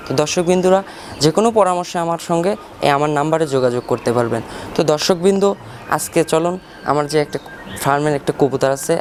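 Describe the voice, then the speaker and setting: Higher-voiced Bangladeshi man presenting to viewers. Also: a little mellow. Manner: repetitive, addresses viewers often. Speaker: pigeon keeper and farm channel host. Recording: noisy outdoor ambience with birdsong. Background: birds and ambient noise.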